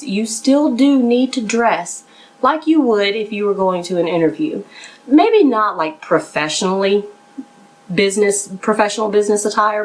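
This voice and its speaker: A woman's voice: medium pitch, poor audio. Conversational woman coaching listeners. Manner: somewhat nervous. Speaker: professional resume writer and interview coach hosting a podcast. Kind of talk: conversational instructional talk.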